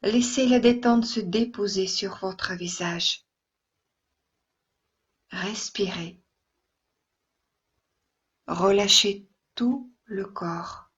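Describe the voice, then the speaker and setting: A woman yoga instructor leading a guided relaxation meditation in a soft, soothing, gentle way, with long pauses between phrases. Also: medium pitch, breathy edge, muffled recording.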